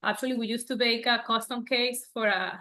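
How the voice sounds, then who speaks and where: A woman with a Venezuelan accent and Spanish as her first language speaks in a fairly high, very bright voice. She tells personal stories casually as a guest in an interview. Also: fairly even intonation, brisk. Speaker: guest, bakery owner.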